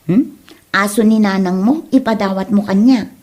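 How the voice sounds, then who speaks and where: A woman's voice: mid-range voice, normal speed, darkish tone, close to an everyday voice. A woman with an Ilocano accent playing a curious friend in an amateur comedy drama. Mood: curious, easygoing. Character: curious friend wanting to adopt a dog.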